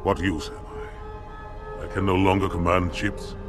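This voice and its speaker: Low-voiced, grave alien warrior. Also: male voice, level tone, a little slow. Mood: humbled. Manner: solemn, terse, formal. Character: proud, disgraced alien warrior commander, grave and resigned. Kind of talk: dramatic sci-fi game cutscene acting. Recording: loud music under the voice.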